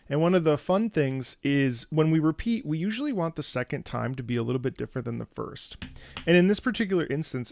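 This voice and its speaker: A man streaming a casual live reaction and musical analysis, animated and conversational, close to the microphone. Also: medium pitch, vocal fry, dry recording. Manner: animated, conversational, profane, demonstrates by singing. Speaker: streamer and opera singer reacting.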